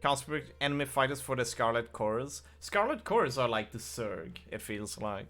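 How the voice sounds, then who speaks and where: A man's voice: mid-range voice, lively intonation, normal speed, a bit bright, soft background music. A man hosting a let's play, reading the game's text aloud and often restarting words as he stumbles.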